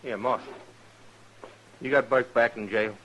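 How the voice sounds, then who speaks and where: An actor playing a sly, rough-spoken cowboy villain with a folksy drawl in an old radio western. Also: male voice, medium pitch, steady tone, measured pace, a bit bright, lo-fi sound. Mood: determined.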